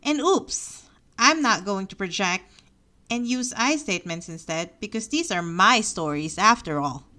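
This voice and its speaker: A woman's voice: medium pitch, animated, a little slow, bright, forceful tone. A young woman with a Filipino accent, reading her own personal story aloud on her podcast in an earnest, reflective way. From Philippines. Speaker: podcast host.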